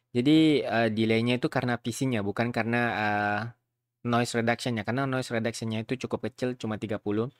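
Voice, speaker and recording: A man's voice: mid-range voice, smooth, dry recording. Chatty man, dry close sound. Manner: informal, chatty, often checks in with listeners. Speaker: YouTuber giving a software tutorial. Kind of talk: casual screen tutorial with streamer slang.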